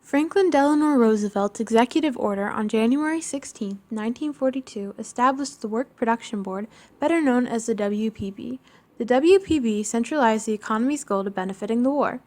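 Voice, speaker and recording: A woman's voice: fairly high pitch, fairly quick, darkish tone. A woman reading scripted documentary narration.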